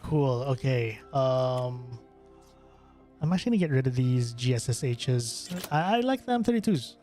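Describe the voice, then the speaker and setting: A man's voice: medium pitch, lively intonation, normal speed, darkish tone. A young man from the Philippines, streaming a game and chatting casually with viewers, rambling with many fillers and restarts. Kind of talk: casual gameplay stream chat. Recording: faint music behind voice.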